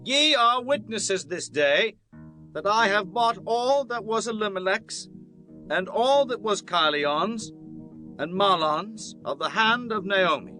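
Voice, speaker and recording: A man's voice: high-pitched, lively intonation, slow pace, sharp tone, faint music behind, full character voice. A man playing an earnest, honorable biblical landowner in solemn, declamatory scripture drama. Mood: proclaiming. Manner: solemn, declamatory, archaic formal speech. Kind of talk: dramatized scripture audiobook acting.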